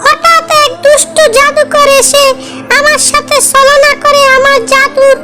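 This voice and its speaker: A woman voicing a gentle, helpless cartoon fairy trapped in a mirror, telling her tale plaintively. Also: very high voice, monotone, unhurried. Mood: sorrowful. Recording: music behind the voice.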